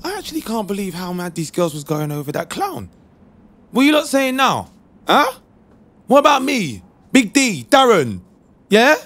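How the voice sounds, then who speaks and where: A man's voice: high voice, huge pitch swings, moderate pace, long pauses, fairly bright tone, heavily acted. A man playing a jealous, hot-headed young London street lad, slangy, indignant and confrontational in a comic dub. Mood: worked up, boastful.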